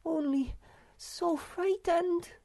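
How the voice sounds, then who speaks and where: Storybook role: tiny girlish creature, very high, slow delivery, timid and sweet. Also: lively intonation, gravelly, warm tone, exaggerated voice. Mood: frightened. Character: small, dainty, timid young female creature, sweet and excitable.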